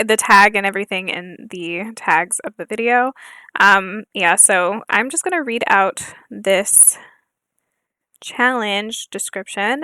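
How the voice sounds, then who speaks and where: Soft-spoken hesitant young woman. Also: mid-range voice, clean tone, dry recording. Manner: hesitant, soft-spoken, many fillers and apologies. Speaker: gaming channel host. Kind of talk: casual gameplay chat with read-aloud challenge text.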